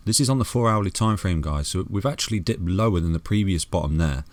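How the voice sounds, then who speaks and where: A low-pitched man with a soothing voice talks through trading charts, friendly and loose, with many restarts. His voice is close and dry, with no room sound behind it. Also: animated, fast, no echo. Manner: chatty, calls viewers "guys" and "mate", many restarts. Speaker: crypto chart analyst. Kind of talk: casual chart walkthrough.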